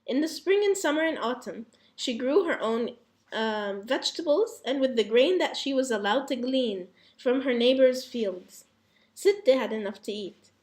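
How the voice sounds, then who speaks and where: A woman's voice: high voice, animated, moderate pace, darkish tone. A woman speaking as a student in class, answering and reading aloud indoors.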